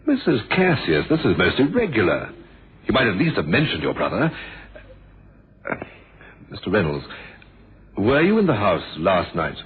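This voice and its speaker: A man's voice: on the low side, wildly swooping pitch, normal speed, pauses often, phone-quality sound. A man acting a shrewd, courteous but suspicious police inspector in a radio drama, drily wry and formal. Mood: stern suspicion. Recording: thin band-limited old radio, noisy.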